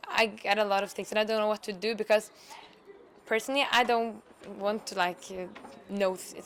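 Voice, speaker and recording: A woman's voice: mid-range voice, fairly bright tone. Hesitant teenage girl telling her story. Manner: hesitant, with fillers. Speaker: interviewee. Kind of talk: personal interview account.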